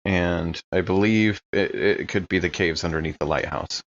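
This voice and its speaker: A man's voice: deep voice, normal speed, a little hiss or hum. A man playing in a tabletop audio drama, talking through things casually in character. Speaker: player in an actual-play game. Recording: slightly dull, muffled sound.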